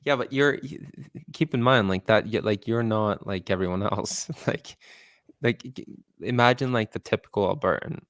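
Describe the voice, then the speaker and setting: A man's voice: on the low side, moderate pace, no echo. A man co-hosting a casual podcast chat, loose and rambling, laughing and slipping into Spanish. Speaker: podcast co-host.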